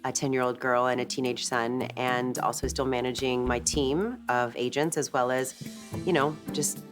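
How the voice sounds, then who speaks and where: Very low-voiced woman, earnest interview. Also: fairly even intonation, moderate pace, music in the background. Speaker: lead actress. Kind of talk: featurette interview.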